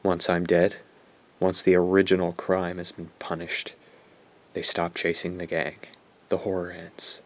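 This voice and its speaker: A man's voice: low voice, completely flat delivery, measured pace. A man playing a bitter, guilt-ridden young man who narrates a horror radio drama in a brooding, self-loathing way. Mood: grim, resigned.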